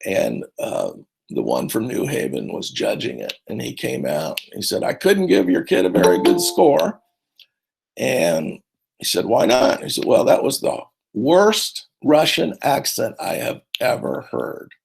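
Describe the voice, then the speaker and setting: Gravelly-voiced man coaching teachers. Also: medium pitch, wildly swooping pitch, unhurried, darkish tone, rough recording. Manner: rambling, conversational, many fillers and restarts. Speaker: speech and debate coach presenting at a conference. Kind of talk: conference talk.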